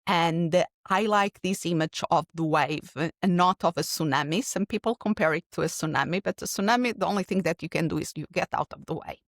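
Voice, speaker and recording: A woman's voice: fairly low pitch, a little fast, few pauses, dry recording, clean studio sound. Portuguese-accented woman, thoughtful and earnest. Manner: earnest, reflective, speaks in long explanatory runs with restarts. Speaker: keynote speaker guest. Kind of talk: podcast interview answer.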